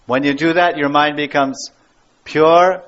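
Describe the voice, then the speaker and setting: A somewhat high-voiced American man teaching a devotional class, emphatic and repetitive to drive each point home. The recording is dull and lo-fi, with the top end muffled. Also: fairly even intonation, a little slow, a bit bright. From United States. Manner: emphatic, repeats key words. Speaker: spiritual teacher. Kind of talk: devotional lecture.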